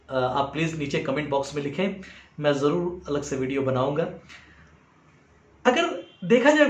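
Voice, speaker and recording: An Indian man giving an explanatory music lesson in a halting, reflective way, dropping English phrases into his talk. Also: fairly high pitch. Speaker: music teacher. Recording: roomy, echoing sound.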